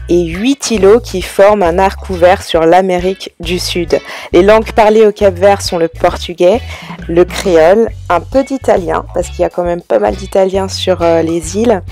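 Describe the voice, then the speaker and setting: A woman from France narrating a travel vlog in a relaxed, chatty way, with frequent hesitations. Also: fairly low pitch. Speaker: travel vlogger.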